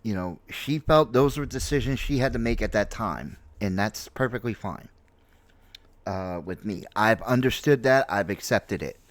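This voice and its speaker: A man in his late forties from New York City with an American accent, giving an unscripted personal opinion in a halting, reflective manner and repeating himself for emphasis. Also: fairly low pitch, lively intonation, no echo. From New York City, United States. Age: late 40s. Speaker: streamer, content creator.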